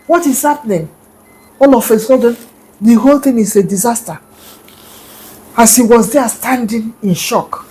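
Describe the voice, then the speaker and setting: A woman telling a folktale in a lively, animated way, acting out sounds. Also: on the high side, breathy edge, poor audio. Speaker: storyteller. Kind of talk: oral folktale narration.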